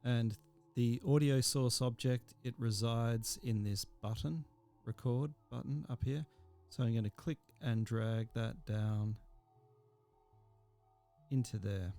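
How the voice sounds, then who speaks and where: Mellow-voiced man teaching software informally. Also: medium pitch, takes it slowly, creaky, faint music behind. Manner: informal, step-by-step explaining. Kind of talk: software tutorial screencast.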